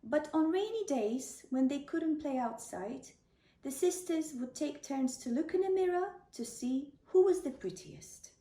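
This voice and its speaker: Female bedtime storyteller, high and mellow, gentle deliberate delivery, roomy sound. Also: expressive. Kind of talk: children's bedtime story narration. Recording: roomy, distant microphone.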